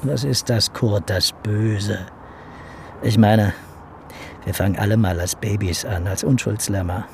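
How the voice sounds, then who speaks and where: Creaky, brooding man. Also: on the low side, steady tone, a little slow, darkish tone, a little hiss or hum. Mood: cynical, pensive. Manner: musing, questioning. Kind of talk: naturalistic radio drama acting.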